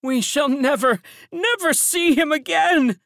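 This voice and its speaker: A woman voicing a grieving elderly servant woman who gossips fretfully, in a high, lamenting tone. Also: unhurried, a bit bright, no room sound, clean studio sound. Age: older.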